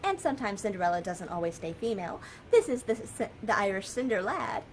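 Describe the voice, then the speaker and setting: Lively American woman reading to children. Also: medium pitch, brisk, a little hiss or hum. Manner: lively, sing-song storytelling. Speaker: children's librarian.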